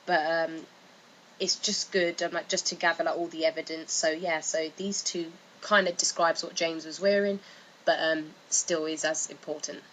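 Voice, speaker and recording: Woman narrator, lowish pitch, bright forceful tone, informal commentary, loosely phrased, faint background noise. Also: fairly even intonation, normal speed, low-quality recording. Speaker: video narrator giving commentary.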